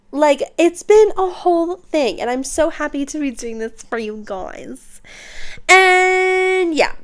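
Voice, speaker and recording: Young woman, congested, bubbly and chatty, slightly high voice, podcast mic. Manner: bubbly, chatty, uses slang and filler words. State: congested from a recent illness. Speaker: podcast host. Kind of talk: casual solo podcast chat. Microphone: podcast microphone.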